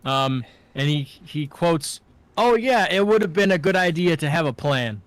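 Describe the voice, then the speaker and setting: A man's voice: on the high side, lively intonation, unhurried, no echo. A young man co-hosting a podcast in casual chat, rambling and often restarting his sentences. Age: young adult. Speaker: podcast co-host and student filmmaker.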